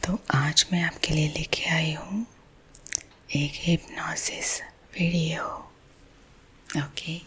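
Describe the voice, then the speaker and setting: A woman with an Indian accent guiding sleep hypnosis in a husky, breathy ASMR whisper, gentle and soothing. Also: deep voice, fairly even intonation, slight background noise. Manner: slow, soothing, gentle, hushed. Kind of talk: whispered ASMR guided visualisation. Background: soothing music.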